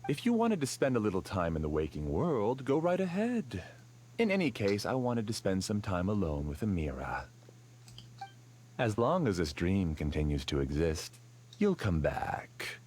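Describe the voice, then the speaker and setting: A man's voice: fairly low pitch, huge pitch swings, normal speed, a little mellow, slight background noise. A man voicing a bitter, scheming male dream master in a video game, brooding and defiant. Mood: scornful.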